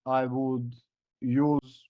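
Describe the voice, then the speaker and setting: A man speaks as an in a casual coaching call, hoarse and running low on voice. His explanations wander with "like" and "uh". The video-call sound is dry and dull. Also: flat delivery, very slow, no room sound. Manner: loose, filler-heavy explaining. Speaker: coach giving feedback.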